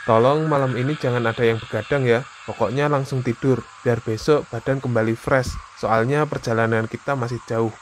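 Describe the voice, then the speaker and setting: A man voicing a young Indonesian hiker, a practical, concerned friend talking colloquially in an animated horror story. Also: medium pitch, moderate pace, hardly any pauses, a little hiss or hum, natural voice. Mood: casual, practical. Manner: casual, colloquial. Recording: music behind the dialogue.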